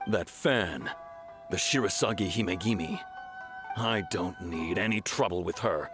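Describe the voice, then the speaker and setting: A man voicing a gruff chief of officials in a video game, curt and grudging. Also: low voice, measured pace, over music. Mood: wary, grudging.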